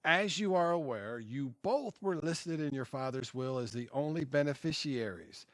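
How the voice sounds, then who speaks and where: A man playing a formal, apologetic family lawyer in an audio drama, speaking in measured, polite phrases. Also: medium pitch, animated, a bit bright, no echo, natural voice. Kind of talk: audio theatre acting.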